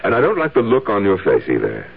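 A man's voice: on the low side, wildly swooping pitch, fast talker, creaky, breathy edge, phone-quality sound, full character voice. An actor playing a defensive, guilt-ridden husband who murdered his wife, stammering and prickly in a radio drama. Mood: prickly, sarcastic. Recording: thin band-limited vintage radio, faint noise.

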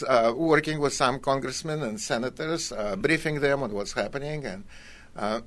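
An older man from Russia with a Russian accent, telling his story in a hesitant, conversational way with wry, dry humour. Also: mid-range voice. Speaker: college academic guest. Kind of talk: conversational autobiographical storytelling in an interview.

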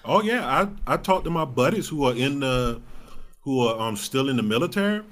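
A man in his thirties talking casually as a podcast guest, informal and blunt, with halting phrasing. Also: medium pitch, animated. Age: 30s. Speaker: podcast guest, stand-up comic. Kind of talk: casual conversational interview.

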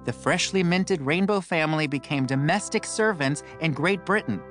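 A man's voice: a little high, moderate pace, fairly bright tone, faint music behind. A man reading his own memoir aloud as an audiobook, with campy, wry comic asides.

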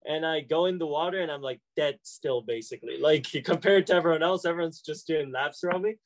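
A man's voice: fairly high pitch, a little fast, low-quality recording. A young man, a college student, answering questions casually with many fillers and restarts. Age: young adult. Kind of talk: casual conversation.

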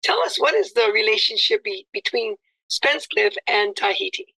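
A woman's voice: medium pitch, big pitch swings, moderate pace, gravelly, bright, forceful tone, breathy edge, rough recording. A woman hosting an interview, effusive and complimentary, with many fillers. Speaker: interview host. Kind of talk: friendly interview chat.

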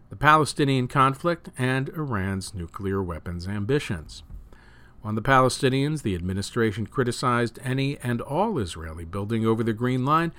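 A man reading news and opinion articles aloud as a host, with measured, emphatic phrasing that falls away at sentence ends. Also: mid-range voice, pushed and bright. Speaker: news reader and show host.

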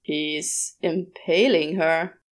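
A woman voicing a laconic, sceptical male demon, deadpan and drawling, in an audiobook. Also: sits low, extremely slow, pitched below the performer's own voice. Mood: baffled, sceptical. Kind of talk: audiobook character voice.

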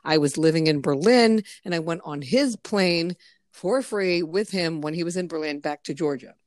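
Blunt, chatty woman with a low voice. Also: moderate pace, no room sound, clean studio sound. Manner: blunt, swearing, chatty storyteller. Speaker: podcast host. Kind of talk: casual podcast chat.

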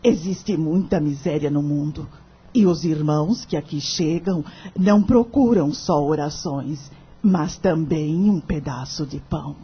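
A lo-fi radio play recording of a humble, saintly woman healer. She talks slowly and serenely in a very breathy, husky voice. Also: low voice, heavily acted. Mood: serene, kindly. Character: dona Lourdinha, kindly, saintly charitable spiritual healer woman. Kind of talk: radio drama acting.

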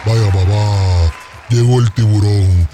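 A man's voice: fairly low pitch, fairly even intonation, takes it slowly, background noise. A man with a Puerto Rican accent playing a boastful male caller nicknamed the shark, rambling gossip in slang on a comedy radio bit.

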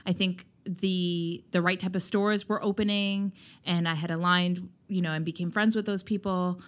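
A loud, emphatic woman with a somewhat low-pitched voice. She talks candidly as a podcast guest about building her business and leans hard on filler words. Her delivery is forceful and direct. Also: fairly even intonation, normal speed. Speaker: skincare brand founder, podcast guest. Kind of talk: candid conversational interview.

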